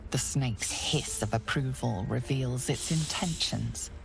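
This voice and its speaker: An actress playing an ominous, all-knowing narrator in a fantasy game, deliberate and foreboding. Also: female voice, extremely low pitch, creaky, exaggerated voice, poor audio. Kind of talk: scripted dramatic narration. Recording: music behind the voice.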